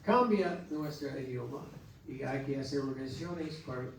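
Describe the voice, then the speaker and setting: American pastor preaching in an echoey hall. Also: male voice, mid-range voice, gravelly, slight background noise. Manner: earnest, exhorting with halting restarts. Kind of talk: Sunday school teaching. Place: church hall. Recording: echoey church hall from far off.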